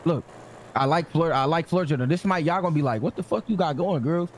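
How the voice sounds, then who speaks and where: A man's voice: fairly high pitch, quick pace, slight background noise. An American man bantering in slang as a gang member in an online game, brash and insistent, often repeating himself.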